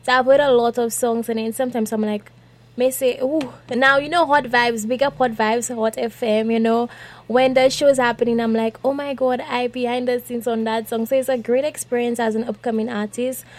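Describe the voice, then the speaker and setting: A young woman singer, speaking softly and cheerfully as a guest in a radio interview. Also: a little high, steady tone, normal speed. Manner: soft-spoken, cheerful, laughs often. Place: radio studio.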